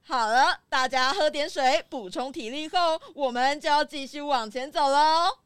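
A woman's voice: sits high, moderate pace, a bit bright, no room sound, studio quality. A woman voicing a bossy but caring chameleon tour leader who admonishes and instructs in a children's mystery audio drama. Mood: brisk.

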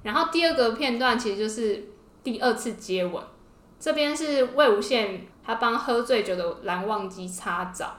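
A woman's voice: on the high side, lively intonation, moderate pace. A woman with a Taiwanese Mandarin accent co-hosting a podcast in casual, gushing fangirl chat. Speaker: podcast co-host. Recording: roomy indoor echo.

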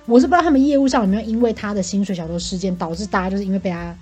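A young woman with a Taiwanese accent chatting casually and comically as a podcast co-host, telling stories in an animated way and acting out quotes. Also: mid-range voice, very fast, slightly rough. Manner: animated storytelling with acted-out quotes. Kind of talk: casual comic chat, venting about coworkers. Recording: faint music behind. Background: faint background music.

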